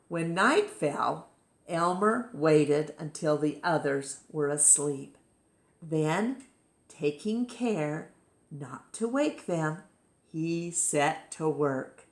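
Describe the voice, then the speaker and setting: Slow, low-pitched woman reading a storybook. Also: animated, echoey room. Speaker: children's storytime reader. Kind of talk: expressive picture-book narration for children.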